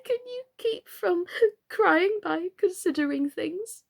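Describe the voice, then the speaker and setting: A woman's voice: extremely high pitch, expressive, slow pace, creaky, pitched above the performer's own voice, full character voice. A woman voicing an earnest, curious seven-year-old girl in a storybook, with a polite, questioning manner. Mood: earnest, doubtful.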